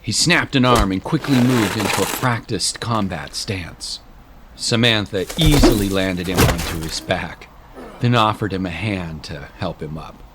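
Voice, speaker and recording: A man's voice: deep voice, a little slow, heavy background noise. A man reading scripted story narration as the narrator of a drama.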